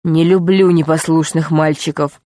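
A woman voicing a calm, mockingly sweet male underground tyrant in a storybook, speaking in a slow, deliberate, commanding way. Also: low voice, no room sound, studio quality, natural voice. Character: calm, menacing male underground ruler, mockingly sweet, power-hungry villain.

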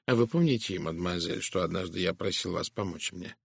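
A man voicing a shrewd, composed Belgian detective in an audiobook, speaking with measured courtesy and deliberate, probing emphasis. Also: low-pitched, gravelly, slightly rough, no room sound, studio quality, exaggerated voice. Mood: calm, probing. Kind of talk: dramatised audiobook dialogue.

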